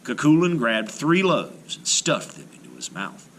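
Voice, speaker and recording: A man reading a folktale aloud to children as an expressive storyteller. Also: medium pitch, unhurried, slight background noise.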